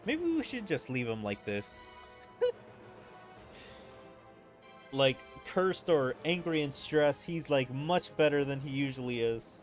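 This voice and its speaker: A man's voice: medium pitch, very animated, slow pace, pauses often, soft background music. A man giving casual let's-play commentary in a musing, informal way, with filler-heavy asides. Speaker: gaming video host. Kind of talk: casual let's-play commentary, reading game dialogue aloud.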